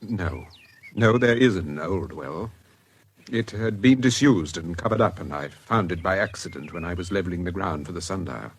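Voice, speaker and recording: An actor with a British accent playing a reserved, guarded gentleman in a radio drama, answering politely and a little hesitantly. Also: low voice, expressive, normal speed, husky, heavily acted. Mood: wary, polite. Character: reserved, guarded English gentleman concealing unease. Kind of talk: period radio drama acting.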